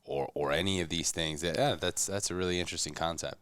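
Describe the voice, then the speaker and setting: A man's voice: sits low, a little fast, fairly bright tone, no room sound. A man co-hosting a podcast in a casual, reflective, conversational style. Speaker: podcast co-host.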